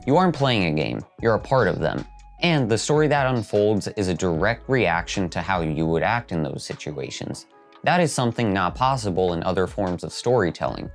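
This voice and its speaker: A man with a fairly low voice narrates a scripted video essay as its host. He speaks over background music that runs beneath the narration.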